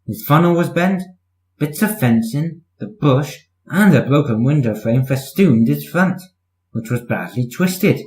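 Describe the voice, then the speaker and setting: A man's voice: fairly high pitch, animated, measured pace, a little mellow, roomy sound, rough recording. A man reading a children's story as scripted narration, in the manner of a storyteller. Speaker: story reader.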